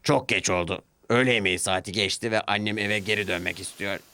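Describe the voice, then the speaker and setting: A man's voice: on the low side, a little fast, pushed and bright, smooth, no echo, clean studio sound. A man voicing a stern, impatient railway controller in a children's cartoon. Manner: stern, commanding.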